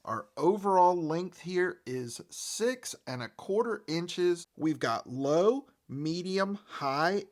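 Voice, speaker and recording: A man's voice: fairly high pitch, fairly bright tone. A congested man hosting an upbeat, enthusiastic gear review with a stuffy nose. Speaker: host. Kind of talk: product review.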